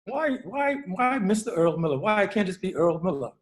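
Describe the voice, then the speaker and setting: Bahamian man, sixties, slightly high voice, conversational panelist who circles back over his phrases. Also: sing-song, brisk. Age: 60s. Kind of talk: casual panel talk.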